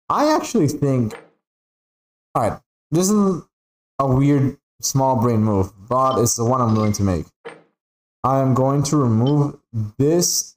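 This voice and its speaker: A man's voice: medium pitch, poor audio. A man whose first language is Spanish, giving casual, rambling gaming livestream commentary with playful, sweary grumbling. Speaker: game streamer.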